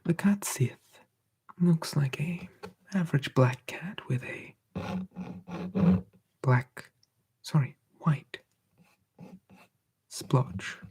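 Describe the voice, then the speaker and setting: A storyteller whispers folk tales in a soft ASMR hush. The voice is very breathy with a gravelly crackle, and it unhurriedly lingers over each phrase. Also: medium pitch. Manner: slow, hushed, playful whisper.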